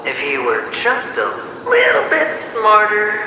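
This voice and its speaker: An actor playing the mocking inner self of a cunning gambler in a video game, with a sardonic, theatrical delivery and an echo effect. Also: male voice, high voice, huge pitch swings, a little slow, background noise, full character voice. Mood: sneering, taunting. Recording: heavily echoing, with music behind.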